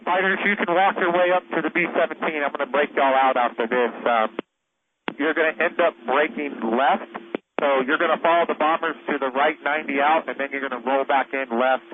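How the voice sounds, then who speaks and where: Over a thin, static-laden aviation radio, a fairly high-voiced man directs pilots. He is informal yet directive and encouraging, giving short live instructions as an air boss. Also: brisk. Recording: tinny, noisy aviation radio transmission.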